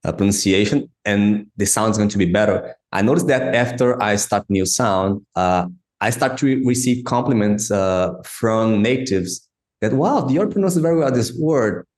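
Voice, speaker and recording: A man's voice: lowish voice, expressive, moderate pace, darkish tone. Man host, echoey room. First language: Portuguese. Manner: hesitant, many fillers and restarts. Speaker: podcast host. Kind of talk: interview questions. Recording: roomy echo.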